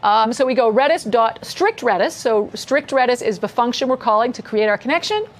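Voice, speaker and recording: A woman's voice: fairly high pitch, expressive, brisk. A woman casually walking through code as an instructor, chatty and upbeat, laughing along with her co-host. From mother from Scotland. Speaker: coding instructor. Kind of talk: casual screen-share coding lesson.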